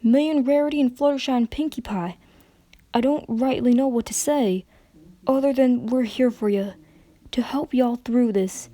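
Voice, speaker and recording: An earnest country farm mare with an American Southern drawl, acted by a woman for a story reading. She is folksy, loyal and emotional, pitched up, in a very dry recording. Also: normal speed, warm tone, no echo, natural voice. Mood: gentle, pleading.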